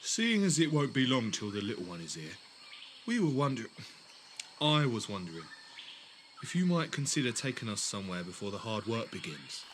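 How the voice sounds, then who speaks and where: A man's voice: lowish voice, very animated, moderate pace, a little hiss or hum. Man playing an easygoing settler, faint ambience. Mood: amiable, tentative. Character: easygoing male settler, expectant parent, amiable companion. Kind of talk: audio drama acting.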